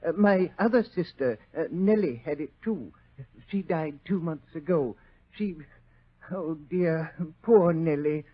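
A fussy, meek gentleman with a high, breathy and rough voice, hesitating and apologizing as he rambles. He sounds like a nervous bereaved brother in an old radio play, on a muffled recording. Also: unhurried. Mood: fretful, rambling. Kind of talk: old-time radio drama acting.

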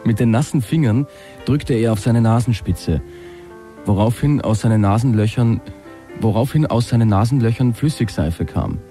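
A man giving a deadpan comic reading, with some casual chat around it. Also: medium pitch, normal speed, soft background music. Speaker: comedian reading his own comic story.

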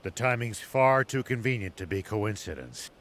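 A man's voice: fairly low pitch, lively intonation, normal speed, fairly bright tone. A man playing a cautious, resolute old soldier in a video game, firm and serious.

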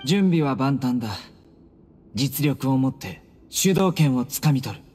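A man's voice: mid-range voice, expressive, measured pace, darkish tone, lightly acted. A man voices a stern young warrior in a game. He talks in clipped, serious phrases that echo in a hall over faint noise. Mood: resolute. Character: stern, terse young male desert warrior. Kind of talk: anime-style game character acting.

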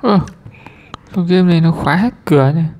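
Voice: mid-range voice, a little fast, a little mellow. A gamer giving casual gameplay commentary, muttering short reactions and questions. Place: indoors, filming a handheld screen being played. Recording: noisy room, tapping clicks.

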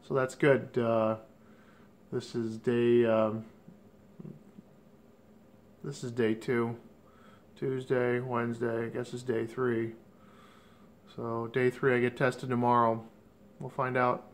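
A man in his fifties, congested and a little rough, talks in a halting, low-key home video diary, filmed on a phone at arm's length in his living room. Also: level tone, slight background noise. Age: 50s. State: congested, stuffed up. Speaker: vlogger. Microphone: phone held at arm's length.

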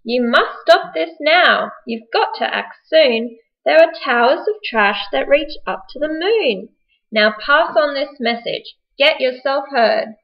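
An earnest, green-caped young superhero, acted by a woman with a fairly high voice. The lines are declared in rhyme for a homemade storybook puppet show, caught in dull, lo-fi sound. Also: expressive, measured pace, creaky, exaggerated voice. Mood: earnest, urgent. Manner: earnest, declarative, rhyming delivery. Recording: dull lo-fi home video sound.

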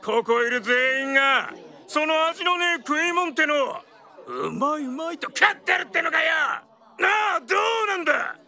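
Radio drama role: rough downtown Tokyo hothead, blustering slang, very high, gravelly voice. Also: male voice, sing-song, sharp tone, shouting, some chatter behind, full character voice. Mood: furious, threatening. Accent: old downtown Tokyo. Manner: blustering, rapid-fire bullying slang. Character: hot-headed, rough Edo-style Tokyo tough guy.

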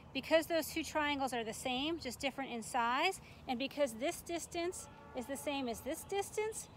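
A middle-aged woman with an American accent and a high voice explains things in a plain, informal teaching style. She is recorded outdoors on a camera microphone, with faint ambient noise behind her. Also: fairly bright tone. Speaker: extension forester, instructor. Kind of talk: informal instructional demonstration. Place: outdoors in a grassy, tree-lined park.

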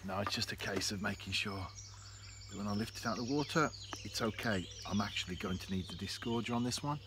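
Guy in his 50s chatting to camera outdoors, lowish voice, birds and faint noise behind. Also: a little fast. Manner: chatty, reflective, speaks straight to camera. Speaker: fishing vlogger. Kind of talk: relaxed outdoor vlog narration. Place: canal bank outdoors. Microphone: camera close in front. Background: birds and countryside ambience.

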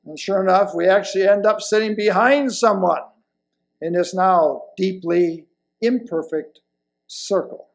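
An elderly man reading a sermon from a lectern, with deliberate, emphatic phrasing and pauses. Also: sits high, vocal fry. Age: older, around 70s or 80s. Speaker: lay presenter reading a sermon. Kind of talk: sermon read from a pulpit. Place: indoors at a wooden lectern in a church hall. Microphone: lapel mic.

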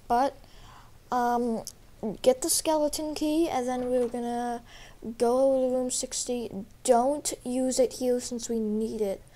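Slow, rambling young gamer girl, sick. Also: fairly high pitch, mellow, slight background noise. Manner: rambling, filler-heavy, hesitant. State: sick, voice a little rough. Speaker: gaming YouTuber. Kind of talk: casual gameplay walkthrough.

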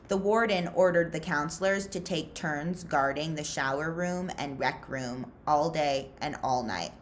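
A woman in her thirties reading a children's novel aloud in an expressive, deliberate storytelling style. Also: low-pitched, a little hiss or hum. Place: small furnished room indoors.

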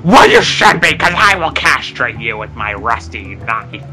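A man's voice: mid-range voice, very animated, unhurried, sharp tone, soft background music, exaggerated voice. A man voicing a cranky, foul-mouthed cartoon ape in an amateur comedy dub, ranting in an exasperated, strained way. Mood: scolding. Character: cranky, foul-mouthed old male ape and bumbling henchman.

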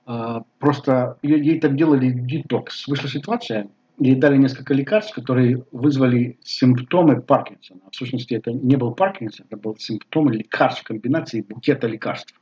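A man in his sixties with a Bulgarian accent, talking casually to camera at home, halting with many fillers. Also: mid-range voice. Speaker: vlogger. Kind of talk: casual personal talk to camera. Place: living room at home. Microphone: webcam at desk. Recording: slightly dull webcam audio.

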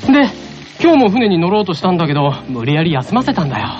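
A woman's voice: fairly low pitch, sing-song, quick pace. A casual young cook guy, swingy boyish voice, dull radio drama sound. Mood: explaining. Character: easygoing young male apprentice boat cook. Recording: dull radio recording with music.

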